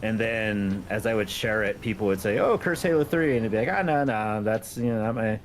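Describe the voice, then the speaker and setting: A man's voice: fairly low pitch, animated, fairly quick, a little hiss or hum. A man chatting casually as a guest, self-deprecating and wry about his own work. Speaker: guest, amateur game modder. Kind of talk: casual interview chat.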